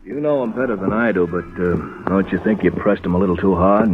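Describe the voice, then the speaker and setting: A male actor playing a low-key, mildly doubtful police lieutenant in an old-time radio drama. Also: brisk, lightly acted. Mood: doubtful, sympathetic. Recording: tinny, noisy old radio recording.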